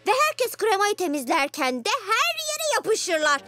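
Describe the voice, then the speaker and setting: A woman's voice: extremely high pitch, very animated, unhurried, noisy background, exaggerated voice. A woman voicing a scheming, boastful young boy ninja villain in a children's cartoon. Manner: conspiratorial, boastful. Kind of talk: animated children's cartoon dub. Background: music.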